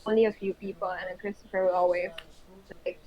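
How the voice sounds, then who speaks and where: A woman's voice: medium pitch, level tone, fast talker. A woman from the Philippines, a podcast guest chatting casually and laughing often. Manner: laughs often, warm and encouraging. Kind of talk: casual chat. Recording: dull lo-fi sound.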